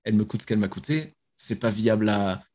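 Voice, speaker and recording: A man's voice: fairly low pitch, fast talker, darkish tone. A young man from France, a guest on a video-call podcast, talking casually about his app with slang and restarts, recorded over a headset in a small bedroom. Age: young adult. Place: small bedroom with gaming chair.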